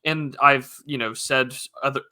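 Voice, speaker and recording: A man's voice: mid-range voice, steady tone, a little slow, bright, forceful tone. A man hosting a podcast, thinking aloud in a casual chat with restarts and wry asides. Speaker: podcast host.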